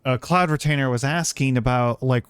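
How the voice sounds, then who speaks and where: A man live-streaming a game, chatting casually and reacting in a halting, soft-hearted way. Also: mid-range voice, clear, resonant tone, no room sound.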